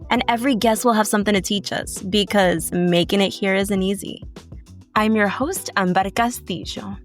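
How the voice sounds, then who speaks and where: Casting note: woman podcast host, mid-pitched, measured read, faint music underneath. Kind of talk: scripted intro and interview questions.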